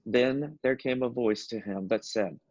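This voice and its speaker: Guy narrating a bible story, medium pitch, dry slightly dull recording. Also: measured pace, darkish tone, dry recording. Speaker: scripture reader, narrator. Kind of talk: scripted scripture narration.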